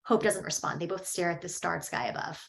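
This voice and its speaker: A woman's voice: on the low side, brisk, vocal fry. A woman reads stage directions as scripted narration at a table read.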